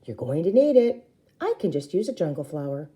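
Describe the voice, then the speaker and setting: A woman voicing a stubborn, bossy and excitable little sister in a storybook, with a bratty, insistent manner. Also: low-pitched, a little fast. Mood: breezy, bossy. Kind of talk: storybook character reading.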